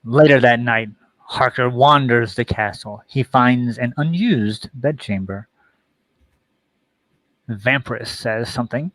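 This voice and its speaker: A man's voice: medium pitch, unhurried, a bit bright, no echo, poor audio. A man narrating a radio play, reading out the stage directions.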